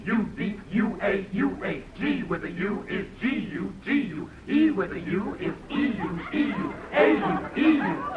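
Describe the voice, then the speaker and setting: An actor playing an earnest elocution student chanting drills in a 1950s radio comedy. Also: male voice, high-pitched. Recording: noisy, muffled old radio recording.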